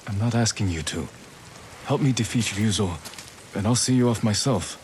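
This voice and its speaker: Samurai lord, game drama, male actor: very deep, restrained, grave, measured; faint rainy noise. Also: darkish tone, natural voice. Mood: solemn. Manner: terse, measured, solemn. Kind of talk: dramatic video game acting.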